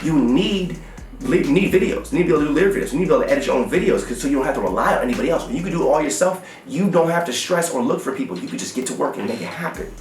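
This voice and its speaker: A man's voice: a little high, lively intonation, very fast, recorded from a distance, slight background noise. An American man giving a blunt, emphatic motivational how-to talk as a music business coach, with slangy, repetitive hustler phrasing. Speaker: music business coach and online course seller. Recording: roomy echo, slightly off the mic.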